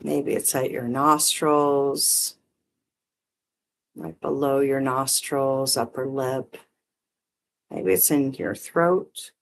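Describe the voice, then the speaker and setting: A meditation teacher guiding breath and visualization in short, gently permissive phrases with long pauses. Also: mid-range voice, fairly even intonation, gravelly, a little hiss or hum. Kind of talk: guided meditation, unhurried instruction.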